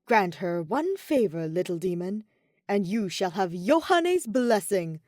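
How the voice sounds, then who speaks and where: A woman's voice: mid-range voice, sing-song, a little slow, darkish tone, dry recording, studio quality. A woman voicing a theatrical teenage girl who calls herself a fallen angel, grandiose and prone to flustered stammering.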